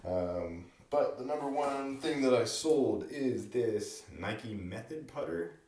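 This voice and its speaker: A slightly hoarse man, who is sick and losing his voice, recapping his golf club sales in a chatty way. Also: medium pitch, animated, takes it slowly, a little mellow. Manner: chatty, explanatory, with frequent fillers. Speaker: golf club reseller and vlogger. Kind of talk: casual to-camera sales recap. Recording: roomy echo.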